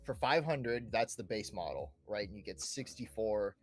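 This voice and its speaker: A man's voice: fairly low pitch, animated, moderate pace. A man hosting a casual gaming podcast, often ending his statements with little checking tag questions. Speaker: podcast host.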